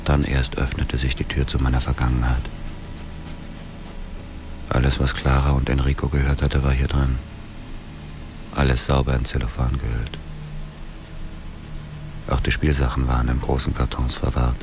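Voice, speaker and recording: An actor playing a cold, bitter middle-aged widower bent on revenge, brooding and controlled, in a radio drama. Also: male voice, very deep voice, monotone, normal speed, pauses often, noisy background. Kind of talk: radio drama narration and dialogue.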